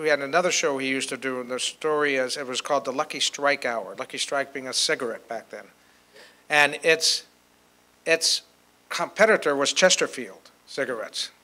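An older man telling anecdotes to an audience on a panel, in a halting way. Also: fairly high pitch, pushed and bright. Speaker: panelist and author. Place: hotel conference room with an audience. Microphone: handheld microphone.

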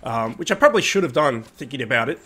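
A young man hosting a casual gaming video, sounding nasal from a cold, chatty and relaxed with lots of fillers. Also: mid-range voice, fast. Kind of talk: casual gameplay commentary.

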